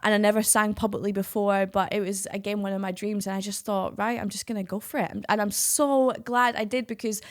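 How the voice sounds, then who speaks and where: A woman's voice: mid-range voice, fairly even intonation, brisk, hardly any pauses. Upbeat woman giving pep talk. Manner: upbeat, encouraging, chatty. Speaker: podcast guest, singer. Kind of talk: casual podcast interview.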